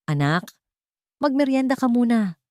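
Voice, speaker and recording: A woman voicing a gentle, sorrowful widowed mother in a story, speaking softly and earnestly. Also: on the high side, big pitch swings, measured pace, dry recording, studio quality, pitched above the performer's own voice. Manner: soft, earnest, solemn.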